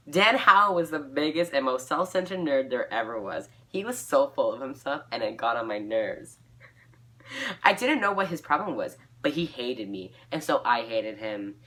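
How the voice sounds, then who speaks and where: A woman's voice: very deep voice, a bit bright. A woman reading her old fan fiction aloud, giggly and self-mocking, dropping into casual asides.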